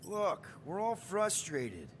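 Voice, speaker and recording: A man's voice: high voice, wildly swooping pitch, slow pace, slight background noise, heavily acted. A man playing an easygoing but exasperated young space-adventurer leader in a video game, casual and wry. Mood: earnest.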